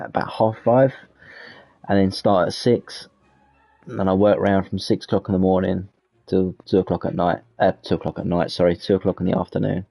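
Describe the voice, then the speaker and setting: A man's voice: low-pitched, vocal fry, dull audio. A British man hosting casual flight game commentary in a rambling, self-correcting way. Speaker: gaming channel host.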